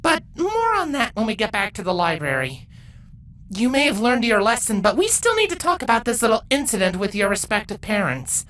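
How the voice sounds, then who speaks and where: Mare mentor acted by a woman: high, clear authority, caring but firm, lecturing in a fantasy tale. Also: very animated, fairly bright tone, a little hiss or hum. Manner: measured, lecturing.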